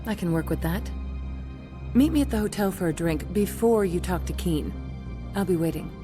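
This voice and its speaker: A woman's voice: fairly low pitch, normal speed, lightly acted. Woman acting a sly, knowing secretary over music. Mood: wry, conspiratorial. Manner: wry, knowing. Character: sly, teasing female corporate secretary. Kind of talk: video game voice acting. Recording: voice under loud background music.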